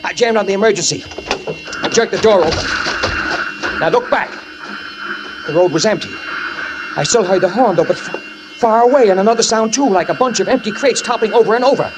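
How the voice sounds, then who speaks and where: An actor playing a guilt-ridden man who fled a fatal crash, narrating in the first person in a 1940s radio drama with tense, halting speech that stammers and repeats. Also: sits high, background noise, close to an everyday voice. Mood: panicked. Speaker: narrator and lead character. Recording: old radio recording with music behind.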